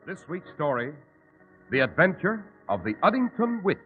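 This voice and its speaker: A man's voice: a little high, very animated, normal speed, over loud music. A man hosting an old-time radio show with formal, theatrical, scripted delivery. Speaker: announcer and host. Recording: tinny, muddy old radio recording.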